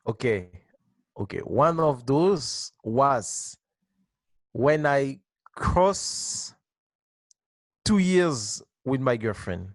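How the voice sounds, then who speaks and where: A man in his twenties, a dance teacher, answering interview questions with halting restarts and frequent laughs. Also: mid-range voice, expressive, no echo. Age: 20s.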